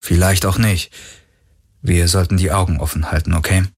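A cocky young ex-pirate from a space adventure audiobook, acted out by a male narrator. He speaks in loose slang with hesitant, joking phrasing and a husky edge. An anxious streak sits under the bravado. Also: low-pitched, steady tone, normal speed. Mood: relaxed, teasing. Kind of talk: audiobook character dialogue.

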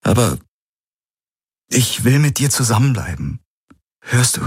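A man's voice: mid-range voice, sing-song, unhurried, slightly rough, no echo, studio quality, voice pushed up, full character voice. A man voicing an anguished, devoted man in an audiobook, his voice trembling as he pleads.

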